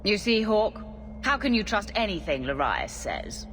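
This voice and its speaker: A woman's voice: medium pitch, very animated, measured pace, a bit bright, soft background music. An actress playing a determined, hard-bargaining woman antagonist in a video game, pointed and commanding. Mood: accusing. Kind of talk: dramatic video game acting.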